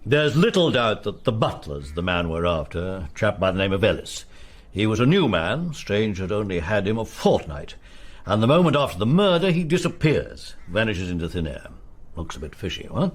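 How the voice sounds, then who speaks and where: An actor playing a bluff, genial English police colonel with a clipped, hearty upper-class accent in a radio drama. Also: male voice, fairly low pitch, very animated, moderate pace. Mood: brisk, confiding.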